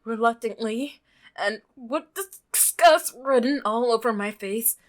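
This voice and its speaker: A woman's voice: on the high side, takes it slowly. A woman reading the scripted first-person narration of an uneasy visual novel protagonist.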